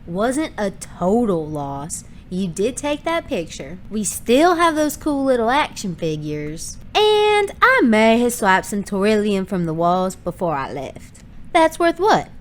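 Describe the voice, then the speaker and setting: Woman doing a cocky lady space merc, teasing and casual, pitch bouncing around. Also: sing-song, a little slow. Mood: breezy. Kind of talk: audio drama acting.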